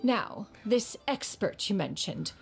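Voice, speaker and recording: Proud, aloof immortal master in a game: female, mid pitch, lofty archaic phrasing, lively intonation, music underneath. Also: slow, creaky, full character voice. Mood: composed, lofty. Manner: formal, archaic, haughty diction. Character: aloof, proud adeptus mistress, woman with archaic refined speech. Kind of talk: video game voice acting.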